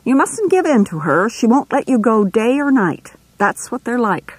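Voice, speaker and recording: A woman's voice: on the high side, very animated, normal speed, breathy edge, no room sound, voice pushed up. A woman reading aloud, voicing a bossy, falsely polite middle-aged nurse who scolds and condescends in a sing-song way. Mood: condescending. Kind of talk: audiobook reading.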